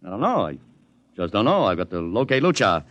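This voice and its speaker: A man's voice: fairly low pitch, sing-song, fairly quick, fairly bright tone, highs cut off. An American actor playing a brash, cynical publicity promoter in a 1950s radio drama, with slangy wise-guy delivery. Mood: grave, shaken. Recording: noisy vintage 1950s radio recording.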